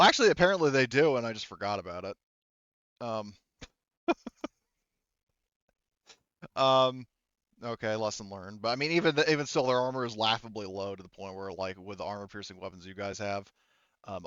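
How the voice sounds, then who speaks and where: A man speaking casually and haltingly, with some swearing, as game master of an online tabletop roleplaying game. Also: on the low side, a bit bright, dry recording, muffled recording.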